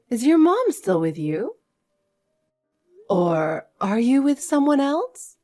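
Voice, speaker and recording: A woman voicing a caring but firm older grandmother, earnest and measured, in an acted family story. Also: a little high, darkish tone, heavily acted. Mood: worried, caring.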